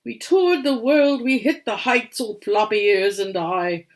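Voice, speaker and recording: A woman's voice: fairly high pitch, measured pace, natural voice. A woman voicing a kindly, sentimental old grandpa badger magician in a storybook, speaking with theatrical feeling. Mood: wistful. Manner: theatrical, heartfelt exclaiming.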